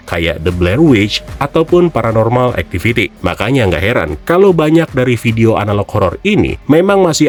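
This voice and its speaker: Lively low-voiced man. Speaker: YouTube host and film reviewer. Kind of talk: casual scripted review narration.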